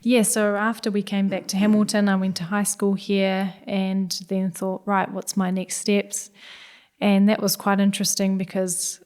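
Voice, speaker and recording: A woman's voice: mid-range voice, completely flat delivery, measured pace, a little mellow, clean tone. A woman in her thirties, originally from Hungary, who speaks with a New Zealand accent and reflects thoughtfully as a guest scientist on a podcast. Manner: reflective, many "yeah" and "I guess" fillers. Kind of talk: podcast interview.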